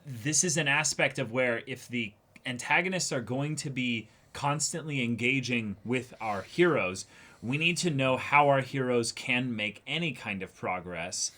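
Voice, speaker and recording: A man's voice: medium pitch, expressive, measured pace, bright, forceful tone. A man talking casually and analytically as co-host of a reaction show, emphatic and opinionated.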